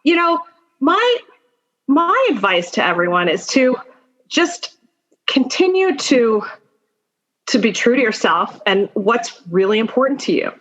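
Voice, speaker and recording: A woman's voice: a little high, very animated, a little slow, long pauses, fairly bright tone, lo-fi sound. A middle-aged woman giving career advice and telling personal stories as a guest in a conversation, emphatic and encouraging, speaking straight to the listener. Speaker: podcast guest, attorney and mentor.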